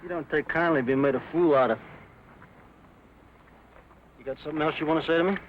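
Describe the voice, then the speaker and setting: A man's voice: on the high side, brisk, natural voice. A man playing a touchy, defensive gambler in a Western drama, talking in a folksy, confrontational way. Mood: challenging. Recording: dull lo-fi recording, faint noise.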